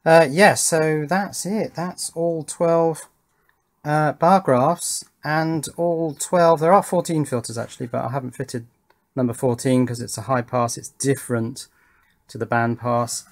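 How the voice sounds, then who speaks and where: A man's voice: fairly high pitch, fairly even intonation, measured pace. A man giving casual commentary as an electronics hobbyist, thinking aloud and muttering to himself while he works at an indoor workbench. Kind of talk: casual hands-on build commentary.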